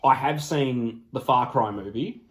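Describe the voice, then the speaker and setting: A man talks casually as a podcast host chatting about films. His pitch is middling, and he is blunt and swears freely. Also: unhurried.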